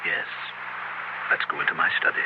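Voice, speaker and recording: An actor playing a cold, commanding occultist in a radio horror drama, curt and solemn as a ritual leader. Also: male voice, normal speed, lightly acted. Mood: cold, controlled. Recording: noisy, muffled 1970s radio recording.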